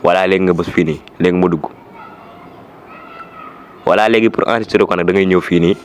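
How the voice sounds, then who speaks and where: Low-voiced Senegalese man giving a tutorial. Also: steady tone, quick pace, a little hiss or hum. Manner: repetitive, instructive, with French words mixed in. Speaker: tutorial presenter. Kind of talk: informal step-by-step app tutorial.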